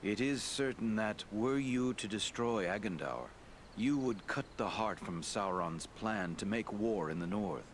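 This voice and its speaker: A man playing a wise, courteous lord who counsels heroes in a fantasy game, measured, formal and grave. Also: fairly low pitch, animated, a little hiss or hum. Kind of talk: dramatic fantasy game acting.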